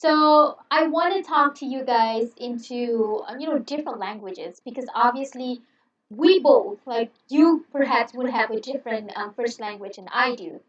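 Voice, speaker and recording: A woman's voice: a little high, moderate pace. A young woman whose first language is Malay, giving a recorded English lecture over a headset from a small room at home in a friendly, chatty way with many fillers. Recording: roomy, distant, dull lo-fi sound.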